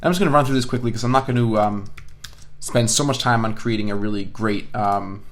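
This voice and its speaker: Man casually narrating a software tutorial. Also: on the low side, brisk. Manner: casual, explanatory, thinking aloud. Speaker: online course instructor. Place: indoors at a computer, voice-over of screen recording.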